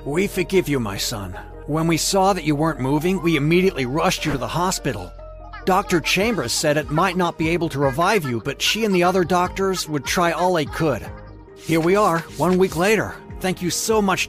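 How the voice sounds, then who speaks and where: A teenage boy's father as a man acts him out in an animated story. His voice sits high for a man, with earnest, measured delivery over background music. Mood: relieved, grateful.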